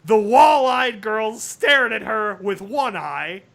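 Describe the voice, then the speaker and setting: A man hosting a livestream, reading a comic story aloud in an animated, dramatic way with casual asides. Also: high-pitched, slow, creaky. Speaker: livestream host.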